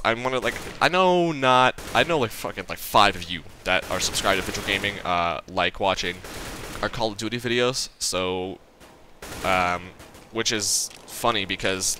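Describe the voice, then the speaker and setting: Stuttering, rambling young man, bright voice. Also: lowish voice, slight background noise. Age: young adult. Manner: rambling, stuttering, profane, unfinished sentences. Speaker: gaming channel host. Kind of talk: casual gameplay commentary.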